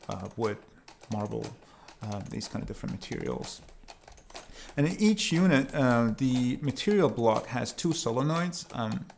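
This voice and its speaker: A man from Iran giving an explanatory talk as a sound artist, in measured, hesitant phrases with pauses. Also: medium pitch, vocal fry, slight background noise. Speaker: sound artist presenting own work.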